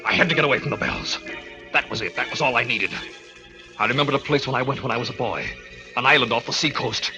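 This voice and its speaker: An actor playing a guilt-haunted man in a 1940s radio thriller, melodramatic and anguished, moving between hushed narration and frantic outbursts. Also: medium pitch, fast talker, lightly acted. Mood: subdued, haunted. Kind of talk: 1940s radio suspense acting, first-person narration. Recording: old 1940s radio broadcast, music behind.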